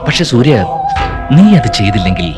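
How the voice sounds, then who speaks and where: An actor dubbing a ruthless crime boss who speaks with calm menace to his men. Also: mid-range voice, moderate pace, natural voice. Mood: menacing. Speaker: gang boss. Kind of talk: dubbed film acting. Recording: film soundtrack with loud music.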